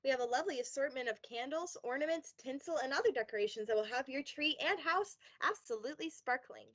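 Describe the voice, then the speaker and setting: A woman's voice: high voice, expressive, fast talker, a bit bright, lo-fi sound. A woman playing a cheery shop saleswoman reading a scripted radio advert. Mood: cheery, promotional.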